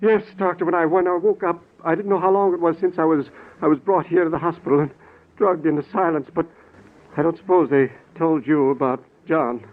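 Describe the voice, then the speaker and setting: A man's voice: fairly high pitch, steady tone, brisk, lightly acted. An actor playing an earnest, frantic middle-aged man pleading to be believed, in dramatic 1940s radio style. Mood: anxious, insistent. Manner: urgent, dramatic, theatrical. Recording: scratchy narrow-band 1940s radio recording.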